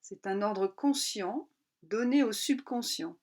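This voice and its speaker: Woman guiding a calm relaxation session. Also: medium pitch. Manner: calm, unhurried, instructional with pauses between short phrases. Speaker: yoga nidra instructor. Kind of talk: guided relaxation.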